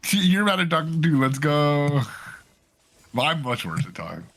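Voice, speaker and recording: A man casting a live esports match, joking around and getting excited about the plays. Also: on the high side, a little slow. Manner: joking, excitable, laughs often. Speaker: esports caster. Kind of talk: live game commentary.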